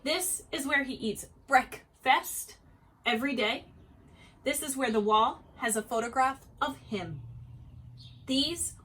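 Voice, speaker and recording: A woman reading a children's story aloud with deliberate, expressive phrasing. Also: high voice, breathy edge.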